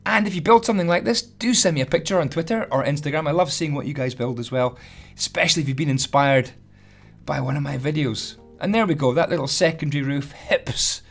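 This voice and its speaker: A hoarse man, chatty and self-deprecating as he gives a casual building tutorial voice-over. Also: fairly high pitch, brisk, faint music behind. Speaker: Minecraft building tutorial host.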